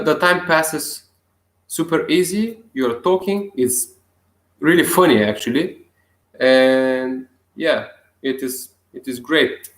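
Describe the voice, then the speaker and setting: A young man from Bulgaria with a Bulgarian accent, talking casually to camera in halting English with many restarts and chuckles. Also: on the high side, fairly bright tone, roomy sound. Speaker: self-healing vlogger.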